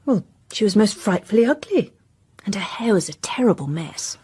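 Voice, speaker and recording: An actress playing a frank, catty young Englishwoman with a breathy voice in a radio drama. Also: mid-range voice, lively intonation, moderate pace, mellow.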